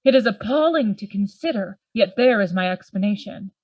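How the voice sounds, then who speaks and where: A woman playing a sober, rational Victorian gentleman in a Gothic radio play, speaking formally. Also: mid-range voice, animated, no room sound, natural voice, low-quality recording. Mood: reasoning. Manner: formal, measured, reasoning.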